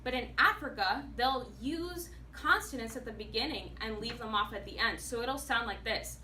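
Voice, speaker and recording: A woman delivers an animated prepared speech. Her voice is bright, forceful and fairly high. It sounds like it was caught from across an echoey meeting room, with faint noise underneath. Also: moderate pace, distant mic. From United States. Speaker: public speaker, actor and singer.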